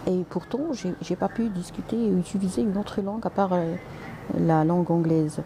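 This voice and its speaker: A woman's voice: fairly low pitch, fairly even intonation, moderate pace, dark tone, slight background noise. A woman doctor answering viewers' comments on a live stream, polite but direct, with frequent hesitations and restarts, slipping in English and Arabic words.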